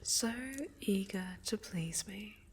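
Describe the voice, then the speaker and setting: A woman playing a haughty, sinister necromancer villainess in a soft-spoken ASMR roleplay, silky, condescending and mockingly sweet, often sinking to a whisper. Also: medium pitch, fairly even intonation, husky. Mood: sly, curious. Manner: condescending, silky, menacing, drawn-out.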